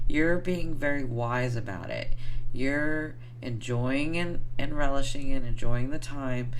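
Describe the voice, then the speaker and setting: A hoarse woman who is getting over the flu, giving an affectionate, rambling tarot reading to her viewers with lots of fillers. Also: very deep voice, noisy background. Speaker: tarot reader.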